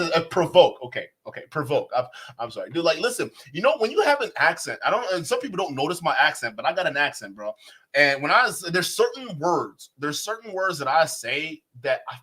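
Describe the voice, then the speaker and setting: Excitable bright husky-voiced man. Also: fairly high pitch. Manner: excitable, slangy, says bro a lot, laughs often. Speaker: streamer reacting to a clip. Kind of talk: casual reaction commentary.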